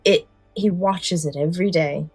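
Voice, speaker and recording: A woman's voice: lowish voice, moderate pace, darkish tone, husky, no room sound, faint music behind. A woman co-hosting a podcast, talking in a casual, explanatory way. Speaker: podcast co-host. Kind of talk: casual podcast chat with some read-out story summaries.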